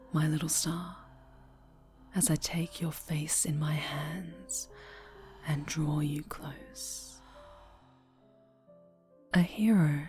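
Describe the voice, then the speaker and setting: Lady doing a yandere dark angel supervillain asmr, low n slow, breathy half whisper, possessive and creepy sweet. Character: possessive, obsessive dark-angel superheroine turned sinister villain. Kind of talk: ASMR roleplay, intimate dramatic monologue.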